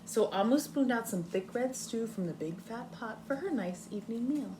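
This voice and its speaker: A woman reads aloud to young children as their teacher. Her voice is fairly high and swings up and down with plenty of expression. She phrases deliberately and invites listeners to think, over clearly audible noise. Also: expressive. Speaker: kindergarten teacher reading aloud.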